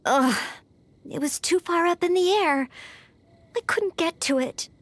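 A woman's voice: extremely high pitch, a little slow, a bit bright, no room sound. A woman voicing an earnest, polite young female knight-in-training in an anime-style video game. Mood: dismayed.

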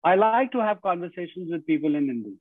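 A man's voice: sits high, big pitch swings, quick pace, no echo. Indian man lecturing over a tinny call. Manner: earnest lecturing, frequent "you know" fillers and restarts. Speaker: guest speaker. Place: home room, indoors. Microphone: video call with earbuds. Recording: dry, tinny video call audio.

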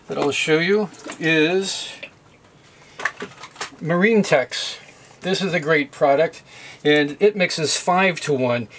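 A man's voice: a little high, fairly bright tone. Methodical middle-aged man demonstrating. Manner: plain, methodical explaining with occasional fillers. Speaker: sailing how-to video host. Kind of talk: hands-on demonstration. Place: boat galley at a sink.

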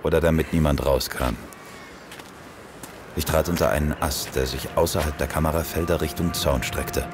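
Audio drama lead: cool police detective, extremely low, dry delivery, clear music underneath. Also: male voice, steady tone, moderate pace. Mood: brooding, observant. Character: cool, defiant male police detective narrating his case. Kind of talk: first-person narration and dialogue in German audio drama.

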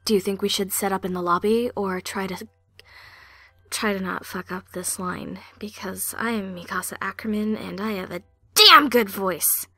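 A woman's voice: medium pitch, normal speed, pushed and bright, no room sound. A woman amateur voice actor in outtake chatter, goofing around playfully with music behind her. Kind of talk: outtake chatter between scripted lines.

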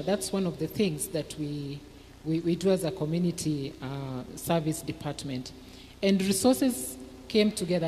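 A middle-aged woman with a Kenyan English accent speaks in a low, earnest voice on a church panel. She explains steadily into a handheld mic, with some restarts. A long hall reverb trails her words. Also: a little mellow, slight background noise. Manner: earnest, measured, restarts and fillers. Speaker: church community service panelist. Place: church TV studio set with plants, seated.

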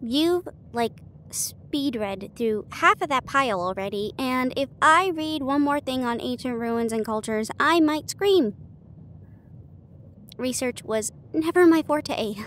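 A woman with a high voice plays an affectionate, bookish partner in an intimate audio roleplay. She speaks casually and chattily, pausing hesitantly between phrases. There is some audible noise behind her. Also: lively intonation, a bit bright. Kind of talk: intimate acted roleplay monologue. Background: ambient train sound effects.

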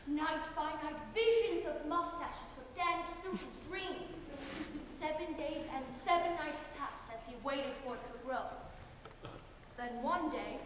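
A woman's voice: high-pitched, unhurried, recorded from a distance. A woman playing a storybook narrator, telling a comic tale in theatrical style on stage. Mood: storytelling. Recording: very echoey, noisy hall from afar.

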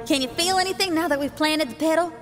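A woman's voice: high voice, quick pace, fairly bright tone, faint music behind. A woman playing an eager, spirited young musician in a video game, with an upbeat, folksy manner. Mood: earnest. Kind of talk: scripted video game dialogue.

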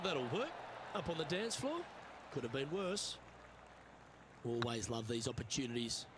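A man playing a TV golf commentator in a video game, calling play in a measured, conversational way. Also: a little high, background noise, natural voice.

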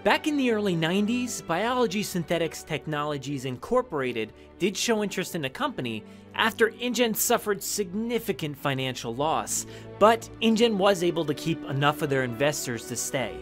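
A man playing a brisk, formal news reporter in a radio drama. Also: a little high, big pitch swings, fairly bright tone, soft background music. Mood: formal, reporting.

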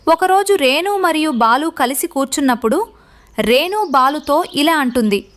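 A woman's voice: high-pitched, lively intonation, normal speed, fairly bright tone. A woman reading a scripted children's story as narrator.

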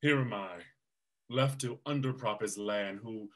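An actor reading an aged, weary royal uncle, over a video call. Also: male voice, on the low side, unhurried, fairly bright tone. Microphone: video call webcam.

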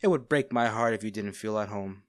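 A man acting as a smitten villain in an intimate audio roleplay, speaking directly to the listener with theatrical pauses. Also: fairly low pitch, no room sound, studio quality, natural voice. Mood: teasing, smug. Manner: teasing, theatrical, pausing, addressing the listener intimately. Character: male villain, teasing, smitten captor of a hero.

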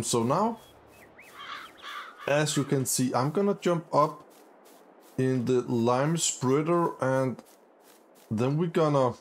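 Upbeat, slow-talking gamer man. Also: on the high side, slight background noise. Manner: upbeat, easily excited, many fillers. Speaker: gaming channel host. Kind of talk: casual let's-play commentary.

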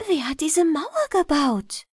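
A woman's voice: high voice, big pitch swings, slow pace, no echo, clean studio sound, voice pushed up, exaggerated voice. A woman voicing a curious young princess in a fairy-tale audiobook, airy and half-whispered.

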